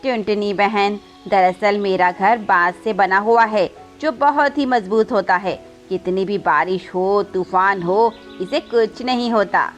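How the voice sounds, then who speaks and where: A woman's voice: medium pitch, unhurried, few pauses, faint music behind, lightly acted. A woman voicing a kind, dutiful female pigeon in a cartoon moral story. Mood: kindly.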